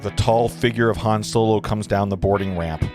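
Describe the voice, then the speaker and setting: A man with a lowish voice narrates scene directions at an informal script reading. He reads plainly and sometimes trips over words and fixes them. Guitar music plays clearly behind him. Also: moderate pace, a little mellow. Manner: stumbles over words and corrects himself.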